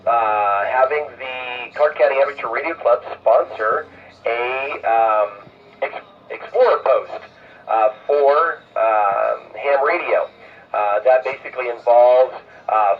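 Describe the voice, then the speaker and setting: A man's voice comes through a narrow, phone-like radio channel, sounding away from the mic. He hosts an amateur radio net informally, with hesitant, halting phrasing. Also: mid-range voice, recorded from a distance, slight background noise. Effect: amateur radio transmission. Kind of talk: informal radio net check-ins and announcements.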